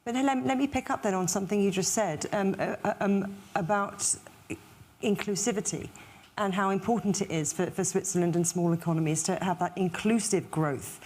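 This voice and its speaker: A woman with a medium-pitched voice moderates a broadcast panel. She speaks as the host, steering the discussion and addressing her guests. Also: a little fast, darkish tone.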